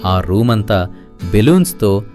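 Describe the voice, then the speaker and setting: A man's voice: fairly low pitch, sing-song, a little slow, darkish tone, soft background music. A man reading scripted storybook narration.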